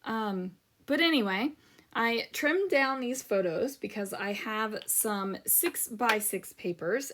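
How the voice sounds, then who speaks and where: A middle-aged woman hosting a scrapbooking channel, chatting casually and rambling with lots of ums, her voice a little worn from talking. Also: mid-range voice. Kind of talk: casual crafting chat over a process video.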